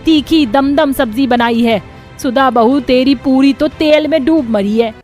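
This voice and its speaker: A woman's voice: high-pitched, unhurried, a little mellow, soft background music, voice pushed up. A woman voicing a bossy, exasperated older mother-in-law in a cartoon family drama, sarcastic and scolding. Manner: nagging, sarcastic.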